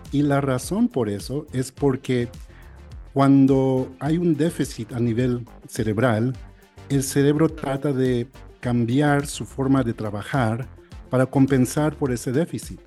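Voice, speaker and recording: American guy speaking spanish, mellow low-key tone, lots of restarts and ums, faint music under it. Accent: American English. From United States. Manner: halting, frequent restarts and fillers. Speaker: audiologist guest. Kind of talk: interview, explaining.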